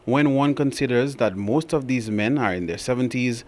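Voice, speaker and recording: A man's voice: mid-range voice, normal speed. Male news reporter reading. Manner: steady read-aloud delivery. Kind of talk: scripted news narration.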